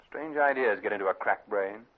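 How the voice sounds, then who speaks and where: An actor playing a shrewd British private detective in a radio drama, measured, analytical and authoritative. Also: male voice, lowish voice, close to an everyday voice. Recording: narrow-band vintage radio recording.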